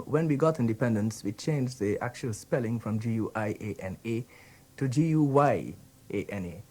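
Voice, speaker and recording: A man from Guyana with a Guyanese accent, thoughtfully giving a spoken account, hesitant and pausing. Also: medium pitch, a little mellow, husky. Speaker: interviewee.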